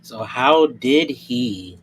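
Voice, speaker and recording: A man in a tabletop roleplay, improvising questions in a halting, placating way. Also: mid-range voice, animated, a little hiss or hum, rough recording.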